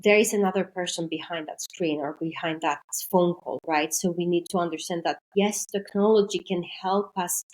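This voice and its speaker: A woman's voice: fairly low pitch, steady tone, moderate pace, hardly any pauses, a little mellow, low-quality recording. Woman explaining carefully. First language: Greek. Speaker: podcast guest, maritime trainer. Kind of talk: podcast interview.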